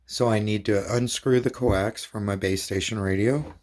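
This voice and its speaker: A man's voice: lowish voice, fairly even intonation, normal speed, darkish tone. A man giving a hands-on tutorial, explaining each step plainly as a hobbyist presenter.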